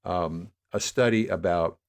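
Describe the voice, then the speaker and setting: Low-voiced older American man, reflective. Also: a little slow, a little mellow. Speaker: interview guest, media producer. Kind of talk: reflective interview talk.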